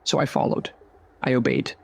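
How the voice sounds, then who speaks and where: A man voicing a young, earnest magical creature in a fantasy audio drama, halting and full of wonder. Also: darkish tone, slight background noise, close to an everyday voice. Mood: subdued, obedient.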